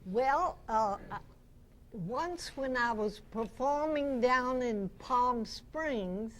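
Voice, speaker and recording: A woman in her nineties, a guest reminiscing in an unhurried, anecdotal way before a club audience. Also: on the high side, expressive, fairly bright tone. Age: 90s. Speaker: guest, veteran radio actress and singer. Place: club meeting room with audience. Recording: noisy live meeting-room recording.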